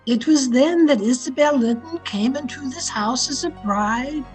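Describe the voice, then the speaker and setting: An actress playing an old maidservant in a gothic radio drama, speaking in an earnest, dramatic way. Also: female voice, high-pitched, measured pace, faint music behind. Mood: grave, storytelling. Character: old housemaid telling a grim gothic tale. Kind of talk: old-time radio storytelling.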